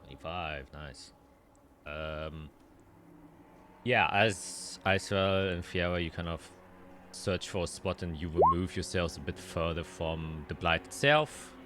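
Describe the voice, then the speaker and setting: A man narrating a tabletop roleplaying game as game master, descriptive and halting as he thinks aloud. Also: low-pitched, steady tone, noisy background.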